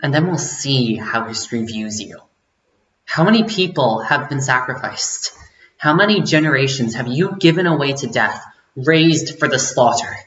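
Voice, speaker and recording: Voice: medium pitch, lively intonation, moderate pace, a bit bright, close to an everyday voice. Bitter revolutionary, played by an actor, theatrical and scornful monologue delivery, muffled sound in an echoey room. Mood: scornful, accusing. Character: bitter vengeful rebel gloating at a powerful leader. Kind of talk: dramatic stage monologue.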